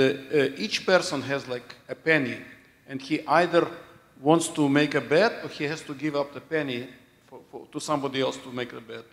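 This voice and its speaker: A man with a foreign accent in English, asking a question from the audience in deliberate, halting phrases. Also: medium pitch, fairly bright tone. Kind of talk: spoken question after a lecture. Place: large hall, audience microphone. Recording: echoey lecture hall microphone.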